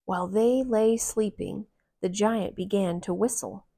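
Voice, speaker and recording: A woman reading a picture book aloud to young children in a gentle, deliberate manner. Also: medium pitch, creaky. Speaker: children's storybook reader.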